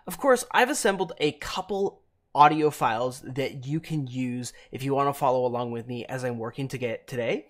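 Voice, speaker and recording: Guy doing a screencast tutorial, mid pitch that moves around a lot, explains stuff in careful chunks. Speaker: tutorial presenter. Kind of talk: instructional screencast narration.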